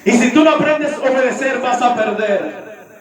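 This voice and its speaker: A man's voice: very high voice, normal speed, a crowd in the background. A man preaching a sermon emphatically, repeating phrases and putting questions to his congregation. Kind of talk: impassioned sermon with acted-out dialogue. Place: large echoey room with a congregation. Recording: very echoey hall, distant mic, audience present.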